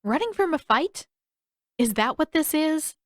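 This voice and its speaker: A woman's voice: high-pitched, lively intonation, measured pace, no room sound, clean studio sound, voice pushed up. A woman voicing a bold teenage warrior girl with a blunt, challenging manner in a fantasy story. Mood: incredulous.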